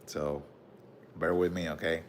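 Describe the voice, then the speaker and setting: A man with a Puerto Rican accent hosting a casual livestream, reading viewer comments and showing off his collection, with a relaxed manner and frequent "bro" and "okay?". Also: a bass voice, unhurried, a little hiss or hum. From Puerto Rico. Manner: relaxed, repeats "bro" and "okay?", many fillers.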